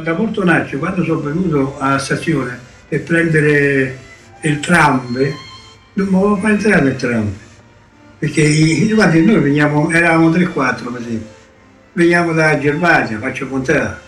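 An older Roman man with a fairly high voice rambles through his memories as a survivor. He sounds distant and echoing, with music behind him. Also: moderate pace, fairly bright tone. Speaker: deportation survivor. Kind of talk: rambling personal testimony.

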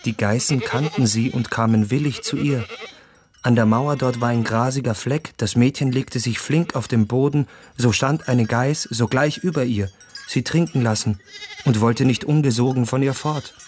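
Actor as Andreas, reflective man, medium pitch. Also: normal speed, a little mellow, faint music behind, lightly acted. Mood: absorbed. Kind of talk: lyrical literary radio narration.

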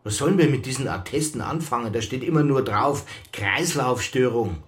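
A man acting an exasperated Bavarian police chief in a radio crime play. His mid-pitched voice swings up and down as he scolds and reproaches in an officious way. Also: animated, moderate pace, natural voice. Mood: indignant, reproachful.

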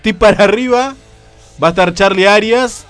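A young man with a Rioplatense accent hosting a casual radio show, hoarse from a cold and joking around. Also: sits high, moderate pace, a bit bright, faint music behind. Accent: Rioplatense Spanish. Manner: joking, teasing.

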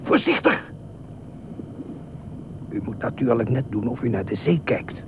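An actor in a Dutch radio play voicing a fussy, anxious, meddlesome beach regular who speaks formally and insistently. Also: male voice, medium pitch, huge pitch swings, measured pace, narrow, tinny audio. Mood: urgent, secretive. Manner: formal, insistent, probing questions. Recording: noisy, thin band-limited old radio recording.

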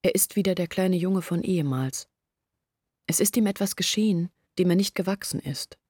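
A woman's voice: fairly low pitch, normal speed, a little mellow, husky, no echo, studio quality. An actress playing a young village woman who earnestly confides her family's troubles in a radio play. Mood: earnest, confiding. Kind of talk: German radio play monologue.

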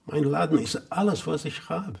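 Ein Schauspieler spielt im Hörspiel einen ruinierten, gekränkten Ladenbesitzer, heiser, bitter und klagend, etwas entfernt vom Mikrofon. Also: male voice, on the high side, lively intonation, normal speed, darkish tone, distant mic, full character voice. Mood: bitter, distressed. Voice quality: very husky. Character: aggrieved, ruined shop owner, adult man. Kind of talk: radio drama monologue.

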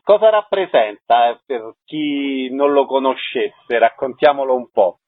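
Guy doing radio arts interviews, bit husky, dry slightly muffled sound. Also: medium pitch, unhurried, no room sound.

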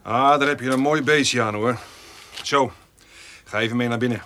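A man's voice: mid-range voice, normal speed, bright, forceful tone, a little hiss or hum, lightly acted. A man playing a shrewd, stubborn farmer who bargains firmly and teasingly in a radio play. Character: shrewd, stubborn male farmer selling a bull.

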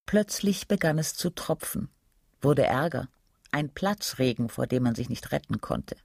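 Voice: mid-range voice, expressive, unhurried, vocal fry, darkish tone, no room sound, studio quality. A narrator reading literary prose for a radio play in a scripted, storytelling style.

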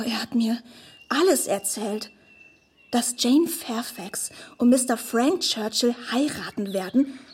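A wide-eyed, naive young woman of a period radio drama, chatty and confiding. Her voice is fairly high and extremely breathy, often close to a whisper as she gushes. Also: a little mellow, heavily acted. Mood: marvelling. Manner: gushing, confiding. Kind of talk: radio drama acting of a literary classic.

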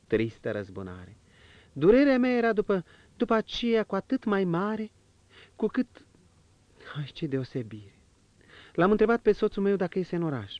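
A man's voice: sits high, dry recording, lo-fi sound, voice pushed up. Man voicing a young, eager noblewoman. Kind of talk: audiobook character reading.

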